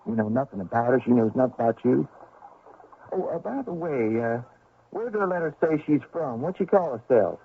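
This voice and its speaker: A man's voice: medium pitch, a little hiss or hum, lightly acted. A man playing a bitter, sarcastic grown son of a gold miner in a radio drama, with an accusing, dramatic delivery. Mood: sardonic. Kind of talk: 1970s radio drama acting. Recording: tinny band-limited old radio broadcast.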